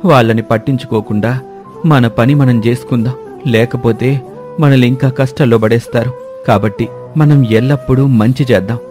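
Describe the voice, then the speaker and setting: A man's voice: mid-range voice, fairly even intonation, moderate pace, dark tone, soft background music, close to an everyday voice. A man playing an honest, humble poor village husband who speaks earnestly and respectfully in a story. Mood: gentle, earnest.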